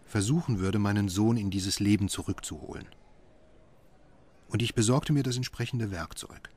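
A man's voice: fairly low pitch, moderate pace, darkish tone, husky. An actor playing a brooding, obsessive middle-aged father and doctor, intense and introspective, in a radio horror drama. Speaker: narrator and lead character. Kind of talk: radio horror drama, dialogue and first-person narration.

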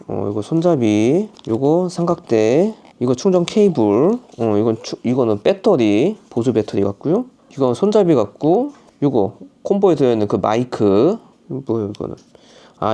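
A man with a Chungcheong dialect talks his way through an unboxing, chatty and rambling. His voice is mellow and relaxed, with a mid-range pitch. He keeps up a running commentary to himself in a casual vlog. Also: slight background noise. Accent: Chungcheong Korean dialect. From Chungcheong, Korea. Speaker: vlogger.

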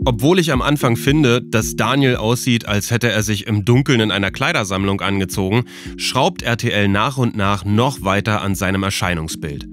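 A man's voice: lowish voice, moderate pace, music in the background. A man hosting and narrating a documentary podcast from a script.

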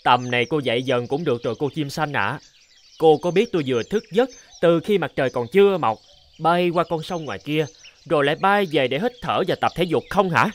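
A man's voice: fairly high pitch, animated, brisk, natural voice. A man voicing a boastful, scornful, scheming crow in a children's cartoon, with a smug, theatrical delivery.

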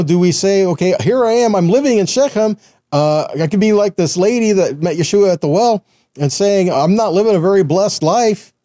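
Middle aged guy doing casual bible teaching, soft warm tone, really dry close sound. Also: a little high, dry recording. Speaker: Messianic ministry teacher. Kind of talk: conversational Bible teaching to camera.

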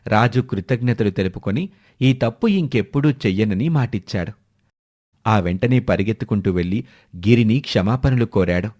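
A man's voice: on the low side, normal speed, darkish tone. A man narrating a scripted moral story for children as a storyteller.

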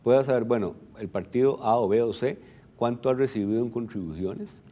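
A man's voice: medium pitch, a little fast, warm tone. A middle-aged Costa Rican man speaking as an expert guest in a television interview, explaining things didactically. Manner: didactic, poses and answers own questions. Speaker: electoral registry director, interview guest. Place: television studio. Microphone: lapel mic.

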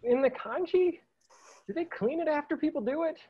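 A man reads a comic supporting part with eager excitement, his pitch bouncing around. The recording sounds somewhat dull and muffled. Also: normal speed, a little hiss or hum. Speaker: actor reading a supporting role. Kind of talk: table read acting.

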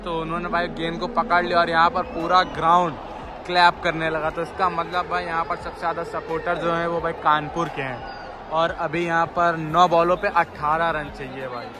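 A young man filming a live vlog from the stands of a packed outdoor cricket stadium at night. He holds his phone and talks excitedly to his viewers, calling them guys and brother. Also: high voice, noisy background. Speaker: vlogger. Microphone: phone camera held in hand. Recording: phone audio swamped by stadium crowd and music. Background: loud roaring crowd and blaring music.

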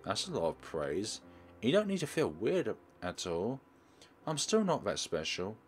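Man reading as a low-voiced, guarded high school girl. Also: huge pitch swings, a little slow, a little mellow, faint music behind. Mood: modest. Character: guarded, self-effacing high school girl heroine, confiding. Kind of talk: visual novel narration in character.

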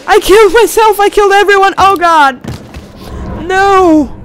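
A young woman gives casual, reactive gameplay commentary, swearing freely. Her pace is slow and she speaks close to a studio mic, with clear game ambience behind her. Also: very high-pitched, slight background noise. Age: young adult. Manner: reactive, exclamatory, profanity-laced. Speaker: gaming video host. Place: indoors at a home recording setup. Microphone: close studio condenser mic. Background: horror game ambience.

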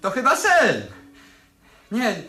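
A man acting in a radio play as an excitable, bewildered young tenant who tells his story with animated wonder. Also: extremely high pitch, takes it slowly, echoey room, soft background music, heavily acted. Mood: astonished.

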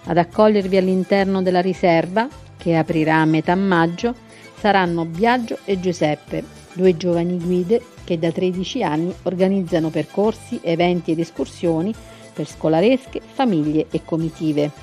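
Low-pitched woman narrator, mellow, over music. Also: moderate pace. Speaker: TV segment host and narrator. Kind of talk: scripted travel feature narration. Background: music bed.

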